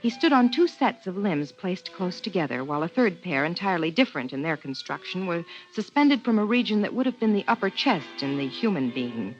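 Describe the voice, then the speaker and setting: A woman's voice: lowish voice, very animated, a little fast, few pauses. An actress playing an earnest, persuasive married woman biologist in a 1950s radio drama. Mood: measured narration. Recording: dull 1950s radio recording, faint music.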